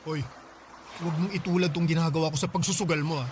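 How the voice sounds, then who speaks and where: A man's voice: fairly high pitch, steady tone, quick pace, heavy background noise, lightly acted. A man with a Filipino accent playing a strict, frustrated, thrifty husband in a radio drama, scolding and insistent. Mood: stern, scolding.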